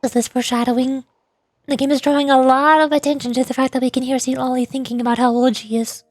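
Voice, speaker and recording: A woman's voice: high-pitched, flat delivery, quick pace, no echo. A woman streamer giving casual commentary on a game she is playing, close to the microphone. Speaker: streamer and VTuber.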